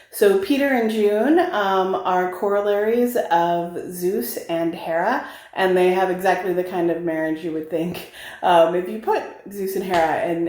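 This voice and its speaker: A woman's voice: lowish voice, darkish tone. A woman talking casually as a novelist, explaining her own book in a roomy recording. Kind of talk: casual talk to camera about own book. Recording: roomy, echoing home-style recording.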